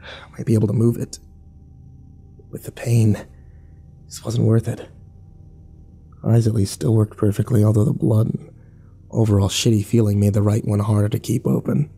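A man's voice: fairly low pitch, little pitch movement, moderate pace, vocal fry, long pauses, warm tone, breathy edge, faint music behind. An actor playing a battered, bitter young man who narrates his nightmare in terse, sardonic, profane fragments for a horror audio drama. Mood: weary, bitter.

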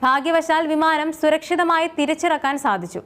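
A woman's voice: sits high, steady tone, moderate pace, a bit bright. A woman reading a scripted explainer as its narrator.

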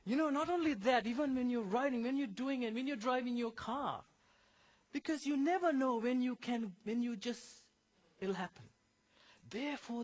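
A middle-aged man from Sri Lanka giving a conversational health talk at a church seminar, explaining medical terms simply. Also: high voice.

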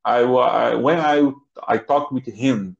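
Halting Brazilian man learning English. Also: mid-range voice, vocal fry. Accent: Brazilian Portuguese. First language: Portuguese. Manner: halting, searching for words with many restarts. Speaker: English student. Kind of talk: online lesson chat. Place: home office with bookshelves. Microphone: laptop webcam mic on a video call. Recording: roomy, dull video-call audio.

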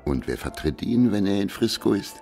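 An actor playing a dry, weary, wry private detective in a German radio crime drama, talking in terse, laconic lines. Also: male voice, fairly low pitch, animated, normal speed, darkish tone, soft background music. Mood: brisk, matter-of-fact. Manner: laconic, terse, sardonic asides.

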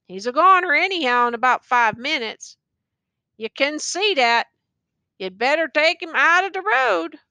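A woman's voice: sits high, expressive, a little slow, bright, forceful tone, dry recording, voice pushed up, exaggerated voice. A woman voicing a ragged male soldier who speaks bluntly in folksy rural dialect. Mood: urgent.

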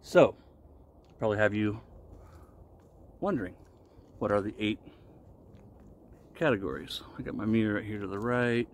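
Low-voiced man talking outdoors. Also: moderate pace, long pauses. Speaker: vlogger reviewing shaving products. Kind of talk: casual explanatory talk to camera while shaving. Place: outdoors in a wooded park clearing. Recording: noisy outdoor ambience. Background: outdoor ambient noise.

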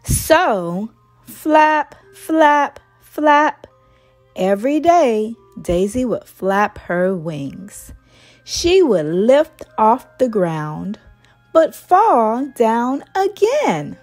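Give it a woman storyteller with a high, very animated voice, reading for small children. She takes her time, very slowly and expressively, in a singsong way. Soft music plays behind her. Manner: slow, expressive, singsong delivery. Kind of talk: read-aloud storytelling for young children. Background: background music.

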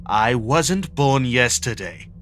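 A man's voice: medium pitch, expressive, takes it slowly, a bit bright, slight background noise. A man voicing an arrogant, commanding teenage schoolboy in a comic dub, curt and cold. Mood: menacing, demanding. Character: arrogant, commanding high-ranking teenage schoolboy obsessed with hierarchy. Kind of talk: dramatic comic dub acting.

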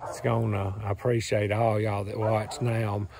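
A hoarse man in his thirties with a Southern US accent, rambling cheerfully in a folksy way to a phone camera while standing outdoors in the woods. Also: on the low side, flat delivery, measured pace, crowd noise. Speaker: farm and hunting channel host. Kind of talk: casual vlog talk to camera. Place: outdoors in woods beside a truck. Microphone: phone camera at arm's length.

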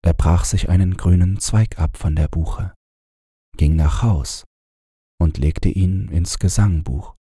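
A man narrating a bedtime fairy tale as an audiobook reader, in a gentle, soothing, measured manner. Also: deep voice, husky, no echo, clean studio sound.

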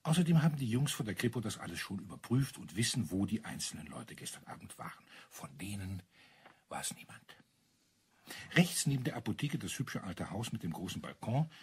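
Wry colonel, very husky voice. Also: male voice, fairly low pitch, sing-song, brisk, heavily acted. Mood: matter-of-fact telling. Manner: teasing, expository, savours his account. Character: wry, self-assured male colonel relishing a murder mystery. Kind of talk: German crime radio play acting.

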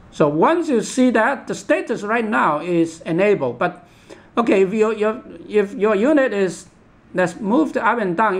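Male product demonstrator, high-pitched with a creaky edge, halting step-by-step instructional delivery. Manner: halting, repetitive, instructional, with imperfect English grammar. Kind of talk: unscripted step-by-step product tutorial.

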